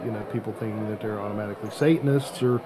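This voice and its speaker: A middle-aged man hosting a podcast in casual chat, often halting as he searches for words. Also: on the low side, a crowd in the background. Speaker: podcast host.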